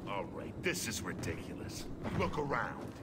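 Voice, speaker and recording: An actor playing a gruff, weary older soldier father in a video game, terse and grumbling. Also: lowish voice, big pitch swings, moderate pace, heavy background noise. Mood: irritated. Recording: loud game sound effects behind.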